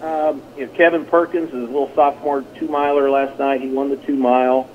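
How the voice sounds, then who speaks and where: A man's voice: medium pitch, level tone, fairly quick. A plain-spoken, folksy man, a track coach, giving a casual interview over the telephone. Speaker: high school track and field coach. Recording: tinny phone line with noise.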